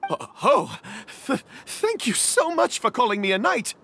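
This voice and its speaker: A man's voice: very high-pitched, huge pitch swings, a little slow, creaky, a bit bright, slight background noise, full character voice. A man voicing an earnest, humble young stage actor playing a knight, eager and theatrical, in a video game. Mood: grateful. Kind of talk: video game dub acting.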